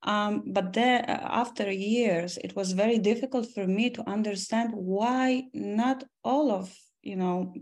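A woman in her forties from Poland, an academic answering interview questions in a measured way, with frequent restarts and fillers. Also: mid-range voice, creaky, darkish tone. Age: 40s. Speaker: academic and foundation president.

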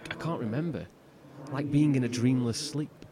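An actor plays a lost, gentle man in a horror audio drama. His mid-pitched voice falters and hesitates, full of feeling. There is clear ambient noise around him. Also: normal speed, natural voice. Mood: lost, unsure. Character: troubled, gentle man trapped in darkness, longing for family.

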